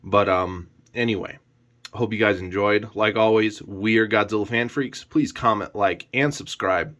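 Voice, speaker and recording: A man's voice: lowish voice, moderate pace, fairly bright tone. A man talking casually and unscripted as a reviewer in a vlog, rambling along with frequent "you know" hedges. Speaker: YouTube collector reviewer.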